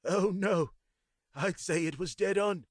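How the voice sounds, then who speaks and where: A man voicing a kindly, polite old man with a faint foreign accent, speaking gently and warmly. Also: high-pitched, takes it slowly, no room sound, clean studio sound, voice pushed up. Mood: warm, friendly. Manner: gentle, warm, softly spoken.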